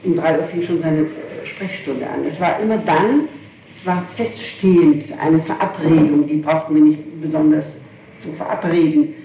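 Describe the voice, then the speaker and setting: A woman's voice: deep voice, steady tone, normal speed, mellow. A woman answering questions in a recorded police interrogation, explaining herself insistently. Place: echoey room, far from the microphone. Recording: echoey distant archival tape with hiss.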